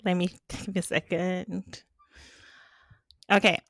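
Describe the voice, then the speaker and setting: Yoruba-speaking woman on a podcast, lowish voice, dry close sound, laughs a lot while she thinks out loud. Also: level tone, slow, dry recording. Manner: thoughtful, laughs easily. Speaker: podcast guest, economist. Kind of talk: casual interview chat.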